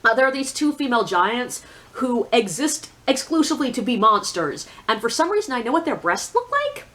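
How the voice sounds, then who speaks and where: A woman with a fairly high voice talks casually, as in a video book review. She is blunt and sardonic, and she punctuates her opinions with emphatic, conversational asides. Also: big pitch swings, brisk, fairly bright tone.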